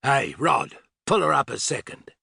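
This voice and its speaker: A man voicing a gruff, impatient older Australian man for an audiobook, with a scornful, growling drawl. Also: mid-range voice, very animated, moderate pace, pushed and bright, dry recording, studio quality, full character voice. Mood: incredulous. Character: gruff grey-haired thick-set older Australian man, impatient hovercraft boss. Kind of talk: audiobook character voice.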